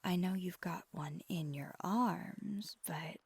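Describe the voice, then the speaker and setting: Breathy, husky vampire girlfriend acted by a woman. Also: fairly low pitch, no room sound, full character voice. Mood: soft, confiding. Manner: slow, gentle, intimate. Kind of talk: ASMR roleplay, soft whispered pillow talk.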